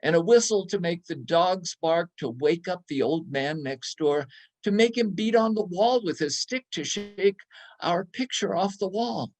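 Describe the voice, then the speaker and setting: Slow, higher-voiced man reading a story aloud. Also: lively intonation. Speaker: reader in a readers theater.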